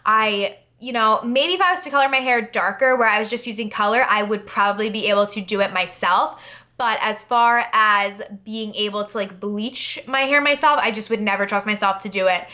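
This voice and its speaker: Chatty bright-voiced young woman vlogger. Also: medium pitch, moderate pace. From New Jersey, USA. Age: 20s. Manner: chatty, rambling, many fillers and "like". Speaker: beauty vlogger. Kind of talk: casual Q&A talk to camera.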